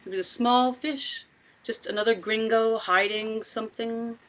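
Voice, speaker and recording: A woman playing an older, self-assured commune matriarch in a script table read, speaking in a measured, deliberate way with pointed rhetorical questions. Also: on the high side, fairly even intonation, fairly bright tone, rough recording. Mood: grave, reflective.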